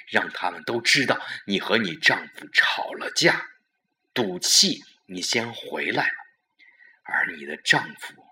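A man playing a scheming, excited lover who lays out a murder plan in a commanding, emphatic way for an audio drama. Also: lowish voice, exaggerated voice.

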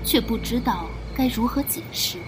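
A woman's voice: fairly high pitch, moderate pace, noisy background. A woman reading scripted literary narration as the storyteller of an audio drama. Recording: loud music behind voice.

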